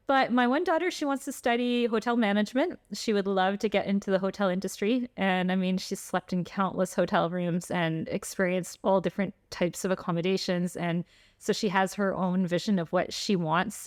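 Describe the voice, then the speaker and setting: A woman's voice: mid-range voice, steady tone, normal speed, no room sound, clean studio sound. A middle-aged woman from Canada chatting informally as an interview guest, often saying "like" and "you know". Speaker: podcast guest, traveling homeschooling mother. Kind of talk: casual interview chat.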